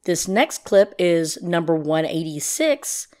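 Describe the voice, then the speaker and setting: A woman's voice: fairly low pitch, animated, takes it slowly, darkish tone. A woman host giving informal commentary, speaking to listeners about audio clips she introduces.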